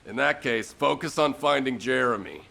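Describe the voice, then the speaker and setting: Very gravelly, gruff squad leader. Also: male voice, medium pitch, lively intonation, normal speed, sharp tone, full character voice. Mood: determined. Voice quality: gravelly, raspy. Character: gruff, blunt, battle-worn male squad leader. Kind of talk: video game cutscene acting.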